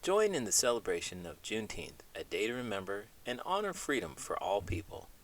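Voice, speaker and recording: A man, a teacher, reading a children's book aloud in an expressive storytelling manner. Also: on the low side, fairly quick, very noisy. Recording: music playing behind.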